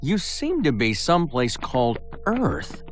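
An actor playing an anxious, servile troll butler in an animated video game, hesitant and deferential. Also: male voice, mid-range voice, unhurried, soft background music. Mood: dutiful.

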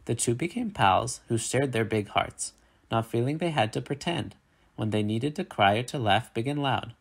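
Man reading a picture book, creaky voice. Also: mid-range voice. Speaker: reader of a children's picture book. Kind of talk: read-aloud storytelling.

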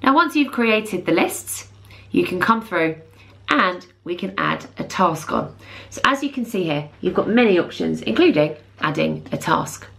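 A woman in her thirties presenting a software tutorial, explaining plainly step by step. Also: lowish voice, lively intonation, measured pace, vocal fry. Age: 30s. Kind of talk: instructional screen walkthrough.

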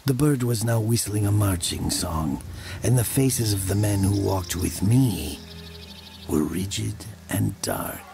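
Guilt-laden confessor, gravelly, slow, brooding, measured audio drama narration. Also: male voice, lowish voice, music in the background. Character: guilty, wealthy man narrating his dark confession. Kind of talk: dramatic first-person story narration.

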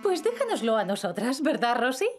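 A woman voicing a gentle, teasing young cartoon mum with a Castilian accent, soothing and playful, in a children's dub. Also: fairly high pitch, very animated, brisk, loud music behind the voice. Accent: Castilian Spanish.

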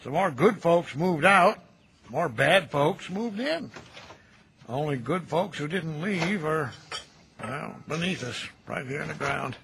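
An actor playing a kindly, folksy older cemetery caretaker in an audio drama, chatty and gentle in a homespun, nostalgic way. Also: male voice, a little high, lively intonation, takes it slowly, creaky, slight background noise, full character voice. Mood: wistful. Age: middle-aged. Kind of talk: naturalistic radio drama dialogue.

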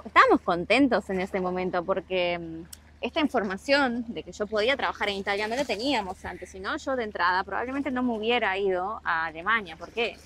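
A woman's voice: mid-range voice, lively intonation, brisk, bright, forceful tone, slight background noise, rough recording. A young Argentine woman with a Rioplatense accent, chatting casually to camera outdoors about working abroad, explaining with many asides. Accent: Rioplatense Spanish. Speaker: travel vlogger. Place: outdoors on rocks by the sea, then a harbor.

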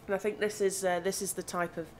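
A woman with a slightly croaky voice from hay fever, chatting casually and thinking aloud as she plays. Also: medium pitch, little pitch movement, quick pace. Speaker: gaming channel host. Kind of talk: casual gameplay commentary. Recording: audible background noise.

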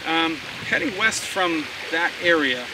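A man hosts a fishing forecast outdoors by a harbor. His voice runs a little high and relaxed, full of casual fillers. The mic sits well back, and outdoor noise comes through loud around him. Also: unhurried, recorded from a distance.

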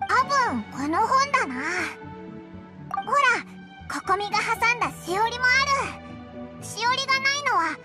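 Squeaky, very high-pitched little fairy sidekick. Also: female voice, sing-song, normal speed. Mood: curious, busy. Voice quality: squeaky, childlike. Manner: exclamatory, rough boyish wording. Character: small floating fairy companion, childlike, chatty and eager. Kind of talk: video game voice acting. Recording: background music under voice.

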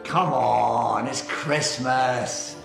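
A man's voice: mid-range voice, extremely slow, creaky, over music, full character voice. A man playing a wry, coaxing pantomime character over a video call from home. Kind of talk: comic pantomime acting. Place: room at home. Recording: roomy video-call sound.

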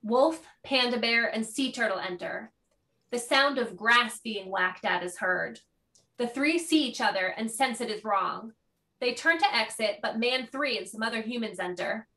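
A woman's voice: a little high, expressive, normal speed, sharp tone, low-quality recording. A woman reading stage directions as scripted narration, in a plain, declarative manner.

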